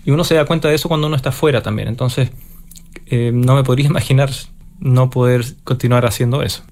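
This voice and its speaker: A man from Chile with a Chilean Spanish accent, a conductor answering interview questions thoughtfully, pausing and restarting as he searches for words. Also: mid-range voice, fairly even intonation, darkish tone. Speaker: orchestra conductor, interview guest.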